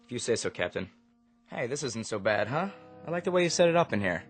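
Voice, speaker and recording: An easygoing, polite junior crewman, acted by a man, speaks at a middling pitch. It is spacefaring radio drama, with clear music underneath. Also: big pitch swings, fast, close to an everyday voice. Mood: friendly.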